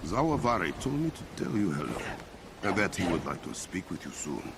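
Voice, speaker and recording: A man playing a dignified, courteous hero king in a video game, speaking formally and in a measured way. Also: low-pitched, huge pitch swings, creaky, noisy background, full character voice. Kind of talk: dramatic video game dialogue.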